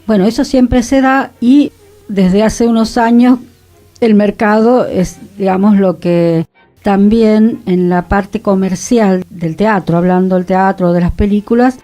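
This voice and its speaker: A woman's voice: medium pitch, steady tone, measured pace, dark tone, faint music behind. A woman co-hosting a cultural radio talk show, speaking in a thoughtful, conversational way. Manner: thoughtful, conversational, with occasional laughs. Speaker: radio co-host. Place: radio studio.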